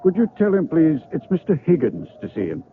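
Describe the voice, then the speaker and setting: An actor playing a courteous, persuasive middle-aged book publisher in an old-time radio drama, with polite, coaxing manners. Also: male voice, fairly high pitch, huge pitch swings, brisk, a little hiss or hum. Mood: polite, hesitant. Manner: polite, hesitant, fatherly coaxing. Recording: muffled, tinny old radio recording.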